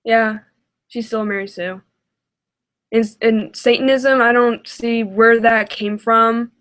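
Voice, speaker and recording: A woman's voice: on the high side, steady tone, slow pace, a bit bright. A woman giving casual reaction commentary in a hesitant, exasperated way. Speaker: reaction video host.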